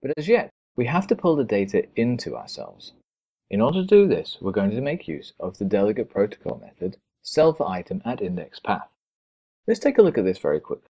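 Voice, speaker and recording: Low-voiced male coding instructor, lively intonation. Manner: methodical, explanatory. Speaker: programming instructor. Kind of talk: screencast tutorial narration, step by step.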